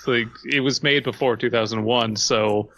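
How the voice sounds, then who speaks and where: Man, bright voice, casual podcast banter, opinionated, stammers when excited. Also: mid-range voice, moderate pace, rough recording. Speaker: podcast co-host.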